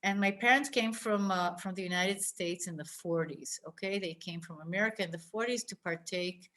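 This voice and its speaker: An older woman from Israel giving an informal, personal lesson, speaking reflectively and pausing often mid-phrase. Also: on the low side, fairly bright tone. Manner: reflective, halting delivery with pauses mid-phrase. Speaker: teacher, scholar.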